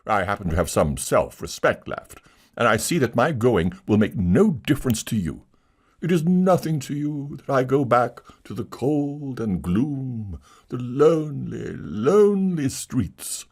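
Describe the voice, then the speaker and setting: A man's voice: medium pitch, big pitch swings, measured pace, a little mellow, breathy edge, pitched above the performer's own voice, heavily acted. A man voicing a proud, self-pitying theatrical man who declaims in wounded, bitter tones.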